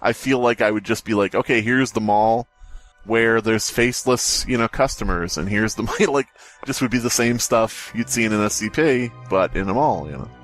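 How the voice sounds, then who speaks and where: A man's voice: lowish voice, moderate pace, a bit bright, soft background music. A man hosting a podcast, talking casually about stories in a rambling, jokey way. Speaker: podcast host. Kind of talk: casual story discussion.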